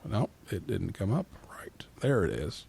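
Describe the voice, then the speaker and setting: A man's voice: lowish voice, big pitch swings, measured pace, creaky, a little mellow, slightly rough, dry recording. A man hosting a live call-in show, speaking as the presenter. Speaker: podcast and radio show host.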